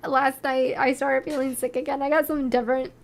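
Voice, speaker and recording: A young woman chatting through a music review, excitable and tripping over her words as she goes. Her fairly high voice is wearing thin from illness. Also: quick pace, gravelly. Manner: excitable, repeats words, lots of fillers. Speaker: co-host of music review show. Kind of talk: casual chat reviewing music videos.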